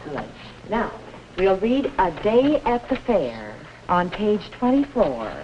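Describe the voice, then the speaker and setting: A woman's voice: medium pitch, big pitch swings, takes it slowly, mellow, lo-fi sound. A woman playing a kindly, orderly primary school teacher in a 1950s educational film, patiently instructing young children. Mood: patient, instructive. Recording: noisy, band-limited 1950s film soundtrack.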